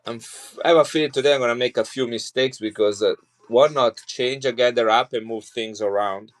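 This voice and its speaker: A man's voice: medium pitch, brisk. Chatty man selling coins. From Italy. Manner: chatty and rambling, with frequent restarts. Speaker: coin seller on a live stream. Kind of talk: casual live sales chat.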